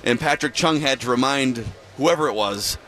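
A man co-hosting a sports radio show in casual chat, excitable and rambling, often restarting his sentences. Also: medium pitch, moderate pace, soft background music. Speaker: radio co-host. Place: broadcast booth at a busy media row.

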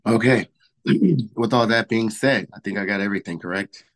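A man with a hoarse, slightly low voice hosts a relaxed podcast chat. His talk rambles along, full of ums and uhs as he runs through announcements. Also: normal speed. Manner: rambling, filler-heavy announcements. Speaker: podcast host.

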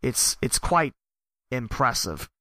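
A hoarse-voiced man with a bright, pressed tone runs through a long list of results in a steady, listing rhythm. His voice sounds strained and worn. The recording is dry and close, with no room sound. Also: medium pitch, takes it slowly, dry recording. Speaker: podcast host and wrestling announcer. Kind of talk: reading results, then casual reflection.

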